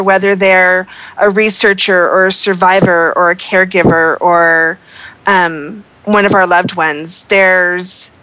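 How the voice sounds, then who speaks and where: A woman's voice: medium pitch, a bit bright, no room sound, lo-fi sound. A woman giving earnest, casual interview answers as a member of a charity team. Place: online voice chat in a virtual world.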